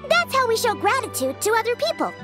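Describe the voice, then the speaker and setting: A tiny female fairy sidekick chirps in an extremely high, very animated childlike voice. She is candid and friendly, in game dialogue over clear background music. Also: quick pace, exaggerated voice. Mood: chirpy, candid. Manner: exclamatory, childlike, explains things simply. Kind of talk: scripted video game dialogue.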